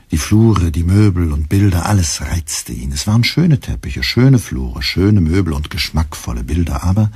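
A man narrating a literary radio drama in a measured, deadpan manner. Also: very deep voice, slightly rough, no room sound, heavily acted. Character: deadpan male narrator of a satirical story.

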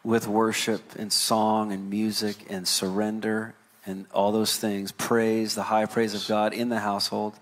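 A man's voice: lowish voice, flat delivery, unhurried, hardly any pauses. A man speaking as a worship guest in an interview, searching for words with stammered restarts. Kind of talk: conversational ministry interview.

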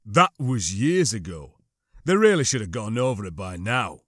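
A man's voice: fairly low pitch, sing-song, moderate pace, fairly bright tone, dry recording, clean studio sound. A man voicing an earnest, troubled stormtrooper with a tense, questioning manner in an audiobook. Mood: stiff. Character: troubled, doubting male stormtrooper, earnest and uneasy.

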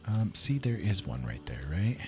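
A man with a low voice talks through a video game in a casual, easygoing way, thinking out loud. Game music plays clearly behind him. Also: very animated, moderate pace. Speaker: gaming video host. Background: game soundtrack music.

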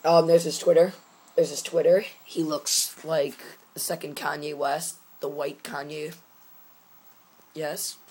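A teenage boy with a hoarse voice, chatting casually and jokingly into a webcam from his room, wearing a gaming headset. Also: fairly high pitch, flat delivery, slow pace, a little mellow, low-quality recording. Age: teens. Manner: rambling, joking, tired. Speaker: vlogger. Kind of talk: casual chat. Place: small room at home, indoors.

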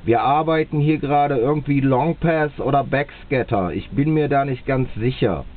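A man with a German accent making a two-way radio contact, speaking slowly and deliberately and repeating himself. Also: mid-range voice. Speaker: radio operator. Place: indoors at a radio set. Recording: tinny, band-limited camera capture at the radio.

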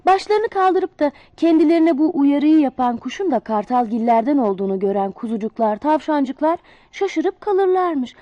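Brief: female storyteller, high and very lively, sing-song fable reading. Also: moderate pace, no echo, dull audio. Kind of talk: reading a children's fable aloud.

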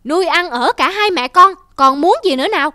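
High-pitched bossy household mistress. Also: female voice, lively intonation, brisk, sharp tone, dry recording, clean studio sound, full character voice. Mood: impatient, bossy. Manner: scolding, domineering. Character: stout, bossy, stingy middle-aged mistress of the house. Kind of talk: cartoon folk tale dubbing. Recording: music behind the voice.

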